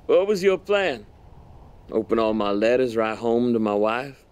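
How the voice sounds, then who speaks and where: An actor playing a smug, menacing army general in an audio drama, with an insinuating, mock-polite manner. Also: male voice, mid-range voice, big pitch swings, unhurried, darkish tone, smooth.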